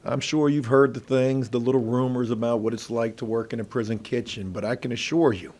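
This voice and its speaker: A man playing a middle-aged veteran inmate who bosses the prison kitchen, in an ASMR role play with a gravelly, husky voice and a folksy, intimidating manner. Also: mid-range voice, steady tone, a little mellow, lightly acted. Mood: sardonic, warning. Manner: folksy, intimidating, drawn-out menacing delivery. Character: gruff, bossy veteran male prison inmate running the kitchen.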